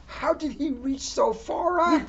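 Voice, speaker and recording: A woman's voice: sits high, unhurried. A woman making playful, mock-serious casual comments as co-reader during a children's storybook reading. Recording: dull lo-fi sound with faint noise.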